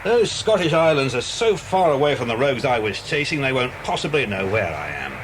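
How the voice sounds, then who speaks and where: An actor playing a genial, reassuring British detective and family friend in a children's audio drama. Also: male voice, sing-song, a little fast, close to an everyday voice. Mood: hearty, reassuring. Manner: hearty, avuncular. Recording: noisy cassette with vehicle rumble.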